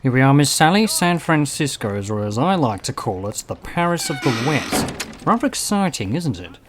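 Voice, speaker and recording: A man's voice: a little high, sing-song, moderate pace, background noise. A man playing a chatty, eccentric, cheerfully fussy time-traveller in an audio drama. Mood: enthusiastic. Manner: chatty, jaunty.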